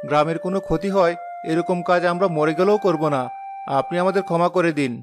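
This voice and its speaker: An actor playing an honest young farmer and younger brother in a cartoon, earnest and respectful. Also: male voice, high voice, fast talker, noisy background, lightly acted. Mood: firm, respectful. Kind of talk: cartoon dialogue.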